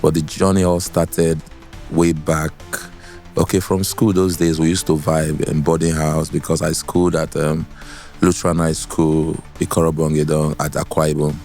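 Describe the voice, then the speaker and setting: Deep bass Nigerian man. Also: flat delivery, moderate pace, a little mellow, faint music behind. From Port Harcourt, Nigeria. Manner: mixes in Nigerian Pidgin. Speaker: guest musician, singer. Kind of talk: casual storytelling in interview. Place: podcast studio.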